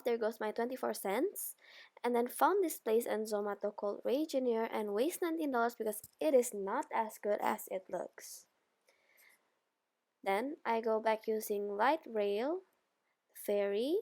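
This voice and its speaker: A woman's voice: fairly high pitch, a little mellow. A young woman from Indonesia, a student, reading her video journal aloud in a plain, careful way with a few slips. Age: young adult.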